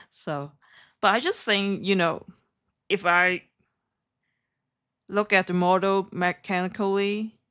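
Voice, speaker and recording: Woman streamer w slightly low voice, english, kinda halting and giggly, just chatting thru trades. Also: a bit bright, clear, resonant tone. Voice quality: non-native English. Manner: giggly, hesitant, halting phrasing. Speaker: live stream trader host. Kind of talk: casual live stream chat and trading commentary.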